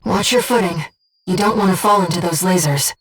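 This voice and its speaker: A woman voicing a calm, devoted, disembodied female guide in a video game, speaking softly and precisely as she supports a pilot on missions. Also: fairly low pitch, husky, distant mic, faint music behind. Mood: composed, focused. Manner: measured, precise, earnest. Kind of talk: video game voice acting, tactical briefings.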